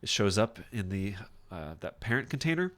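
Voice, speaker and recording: A man teaching software in a casual screen-share tutorial, thinking aloud informally as he demonstrates each step. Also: on the low side, sing-song, moderate pace. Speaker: software instructor.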